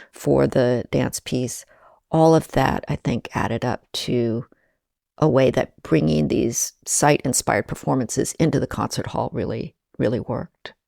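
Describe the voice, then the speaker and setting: A woman speaking as an interview guest, reflective and measured, talking with warm enthusiasm about shared projects. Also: extremely low pitch, vocal fry, no echo, clean studio sound.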